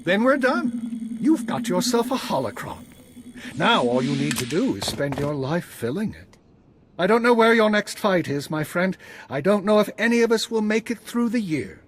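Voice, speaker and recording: An actor playing a wise, solemn Jedi master and mentor in a video game, speaking in a measured, earnest way. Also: male voice, high voice, big pitch swings. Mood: earnest, warm. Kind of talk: scripted video game dialogue. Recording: loud background ambience noise.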